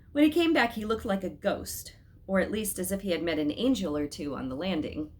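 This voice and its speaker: A woman reading a children's story aloud as an expressive storyteller, with dramatic, emphatic phrasing. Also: mid-range voice, moderate pace.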